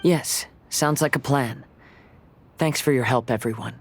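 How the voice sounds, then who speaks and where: A man playing a calm, polite young wandering swordsman in a video game, speaking gently and with measured care. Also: medium pitch, a little hiss or hum, close to an everyday voice.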